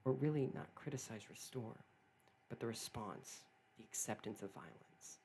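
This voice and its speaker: Male, lowish voice, earnest and halting, lots of restarts and repeats, soft room hum behind. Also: animated, vocal fry. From not British. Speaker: political commentator. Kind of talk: solo opinion monologue.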